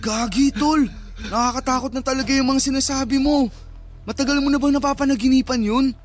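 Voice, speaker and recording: An actor playing a nervous, well-meaning young cousin in a radio drama, colloquial and concerned. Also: male voice, very high-pitched, steady tone, a little fast, faint music behind. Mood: alarmed.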